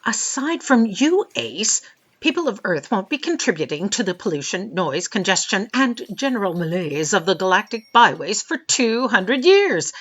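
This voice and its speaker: A woman's voice: medium pitch, very animated, moderate pace, slight background noise, natural voice. A woman playing an imperious female boss, pompous and dryly authoritative, in a comic sci-fi audio drama. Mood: blithe, pompous. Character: brisk, imperious female boss, blithe about doom.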